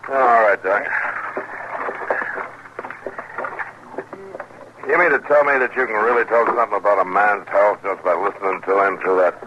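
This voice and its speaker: An American man acting a steady, firm frontier marshal in a radio western, speaking plainly. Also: fairly low pitch, fast talker, lightly acted. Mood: dry teasing. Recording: muffled old radio recording, faint noise.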